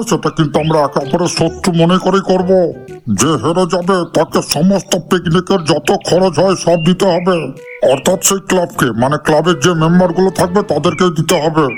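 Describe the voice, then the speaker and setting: A man's voice: fairly high pitch, close to an everyday voice. A man voicing a bossy, blunt village club leader who scolds and swears in a comedy cartoon.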